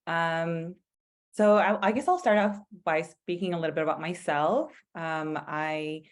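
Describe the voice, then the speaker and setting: A woman's voice: deep voice, measured pace. A young woman in her twenties, a medical student guest, speaking hesitantly with many ums and likes. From born in Ethiopia, raised partly in Eritrea, then California. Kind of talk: casual online panel discussion.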